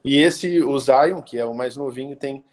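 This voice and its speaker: Thirtyish pastor. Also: male voice, mid-range voice, normal speed. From Brazil, interior of São Paulo state. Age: 30s. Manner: conversational, many hesitation fillers and tag questions. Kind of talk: casual live-stream talk.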